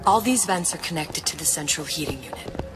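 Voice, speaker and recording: A woman's voice: sits low, expressive, fairly quick. A woman acting in a video game as a determined young woman, firm, matter-of-fact and insistent. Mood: cool, resolute. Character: determined, cool-headed young woman helping a searcher. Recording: loud music behind the voice.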